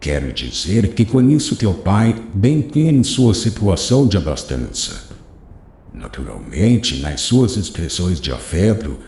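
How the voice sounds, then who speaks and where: A man voicing a wise, gentle old rabbi teacher who consoles his pupil in a slow, solemn preaching cadence. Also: lowish voice, voice pushed down. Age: older. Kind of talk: audiobook character reading. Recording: roomy echo.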